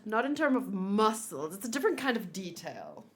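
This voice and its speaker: A woman's voice: mid-range voice, sing-song, normal speed. A woman streamer chatting casually and giggling as she unboxes and assembles a figure, answering her chat.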